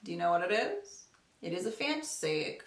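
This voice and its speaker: A woman's voice: lowish voice, very animated, moderate pace. A young adult woman chatting casually and enthusiastically to camera as a book vlogger, with gushing, emphatic delivery. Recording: roomy, echoing home recording.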